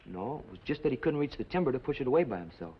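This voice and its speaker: An actor playing an earnest, polite, plain-spoken young nephew in an old television western. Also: male voice, mid-range voice, sing-song, rapid-fire, breathy edge. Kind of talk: 1950s television western acting. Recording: muffled, noisy old TV western soundtrack.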